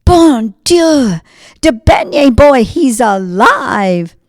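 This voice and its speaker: A woman's voice: mid-range voice, very animated, a little slow, no room sound, clean studio sound. A woman voicing a kindly, lonely man baker with a folksy way of talking. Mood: frantic. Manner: folksy, soft mumble that rises to frantic shouts.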